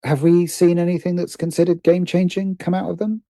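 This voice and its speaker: Man with very mellow voice, halting delivery. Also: high-pitched, flat delivery, no echo, clean studio sound. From United Kingdom. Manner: hesitant, repeats and rephrases phrases as he thinks. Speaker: guest, writer and producer. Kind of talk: casual interview conversation.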